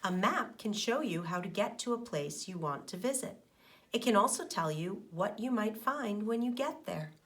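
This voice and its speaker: A middle-aged woman, a schoolteacher, reading a picture book aloud to young children and explaining it in simple, deliberate phrases with questions to the listeners. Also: medium pitch, lively intonation, fairly bright tone. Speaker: elementary school teacher.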